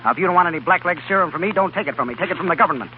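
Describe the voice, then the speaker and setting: A man's voice: high-pitched, lively intonation, very fast, background noise. A man playing a cocky, eager young doctor and scientist in a 1930s radio drama, folksy and punchy. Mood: cocky, defiant. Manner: folksy, plain-spoken, punchy. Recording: muffled 1930s radio recording, crowd behind.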